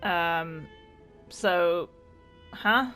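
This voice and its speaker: A woman with a fairly low voice chats through a game playthrough. She swears freely, jokes offhand and keeps it casual, with music playing behind her. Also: extremely slow, fairly bright tone. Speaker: game streamer. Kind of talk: casual let's play commentary.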